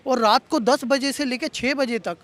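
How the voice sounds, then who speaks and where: Very high-pitched man debating on the street. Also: normal speed, a bit bright. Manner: argumentative, explains at length, some English words mixed in. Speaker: street interviewee.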